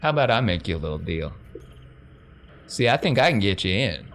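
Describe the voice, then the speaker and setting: A man's voice: on the low side, very animated, a little fast, fairly bright tone. A man voicing a shrewd, folksy crime boss who drawls and talks down to people in a tabletop game. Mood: challenging. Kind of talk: tabletop game role-play.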